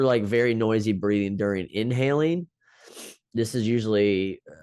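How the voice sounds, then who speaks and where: A man's voice: fairly low pitch, a little mellow, no room sound, studio quality. A man teaching a class, talking it through loosely with many ums and restarts as he explains step by step. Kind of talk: unscripted lecture.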